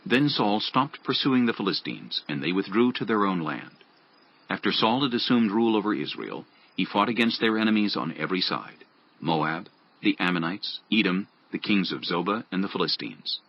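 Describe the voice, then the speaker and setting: A man's voice: low voice, husky, muffled recording. A man reading scripted narration for a dramatized audio Bible.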